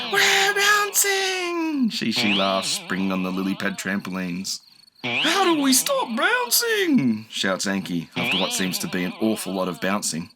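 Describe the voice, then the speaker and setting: A man reads a children's storybook in a low voice that moves expressively up and down. Crickets chirp clearly behind him throughout. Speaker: narrator. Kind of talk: children's audiobook narration. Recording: clear noise with cricket ambience.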